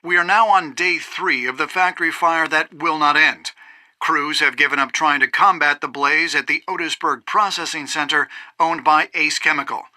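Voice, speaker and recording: A man's voice: a little high, steady tone, moderate pace, pushed and bright, no room sound. An actor playing a polished male news anchor, reading scripted bulletins for a game in an emphatic, projected newsreader delivery. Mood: serious, urgent.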